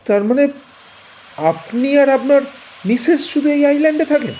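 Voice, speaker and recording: A man's voice: very high-pitched, moderate pace, mellow, a little hiss or hum, low-quality recording. A man playing a young stranded boater. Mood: polite. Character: young man stranded on an island with his fiancée. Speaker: Mac. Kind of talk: audio drama acting.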